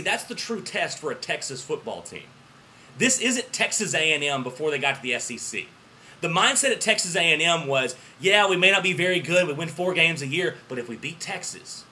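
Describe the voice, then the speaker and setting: An American man with a voice hoarse from yelling, giving emphatic, repetitive fan commentary on football in a vlog. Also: medium pitch, a little hiss or hum, poor audio. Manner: emphatic, repeats himself, swings between excited bursts and quieter asides. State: hoarse voice after yelling through a game. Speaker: football fan vlogger and alum of the team he supports. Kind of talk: impassioned sports fan commentary to camera.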